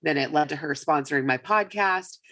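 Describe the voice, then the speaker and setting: A woman with a raspy voice, getting over a cold, speaking as an upbeat, emphatic guest in a casual podcast interview. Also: low voice, fairly quick, fairly bright tone.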